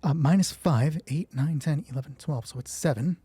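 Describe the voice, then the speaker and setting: Husky, mellow man running a solo tabletop game. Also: a little high, moderate pace. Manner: thinks aloud, swears casually. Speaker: solo roleplay game master. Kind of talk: casual running commentary on dice rolls.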